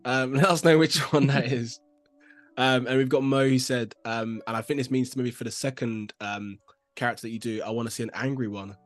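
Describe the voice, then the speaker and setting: A man's voice: medium pitch, steady tone, a little fast, dry recording, soft background music. Chatty young man hosting a stream. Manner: chatty, lots of fillers and back-channel "nice"s. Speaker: livestream host. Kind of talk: casual livestream chat. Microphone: video call.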